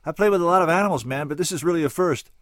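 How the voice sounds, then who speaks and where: A man voicing a gentle, earnest male jazz bassist with a wavering tenor voice and a careful, soft-spoken manner. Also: very fast, no echo, studio quality, natural voice.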